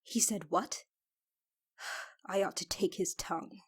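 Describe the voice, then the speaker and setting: Protective royal knight voiced by a woman, fantasy ASMR roleplay, husky and soft-edged, dutiful, earnest delivery. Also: fairly low pitch, slow, heavily acted. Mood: tender, protective. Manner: formal, earnest, restrained. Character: devoted, protective female royal knight, lover of princess.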